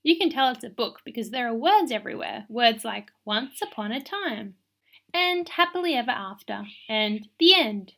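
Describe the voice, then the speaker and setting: A high, very animated little girl played by a woman reader, bossy and full of opinions. She tells her own storybook emphatically and theatrically, with a bright edge to every line. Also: moderate pace, gravelly. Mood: proud, bossy. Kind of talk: expressive children's picture book read-aloud.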